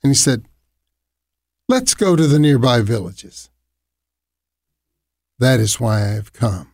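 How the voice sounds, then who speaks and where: Earnest ministry leader, halting. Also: male voice, mid-range voice, lively intonation, darkish tone, no room sound, clean studio sound. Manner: earnest, halting, with fillers and restarts. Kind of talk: sharing a ministry's origin story.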